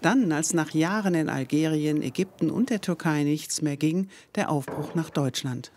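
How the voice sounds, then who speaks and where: A woman reading a scripted TV news report in a measured, even voice-over. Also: deep voice, slight background noise.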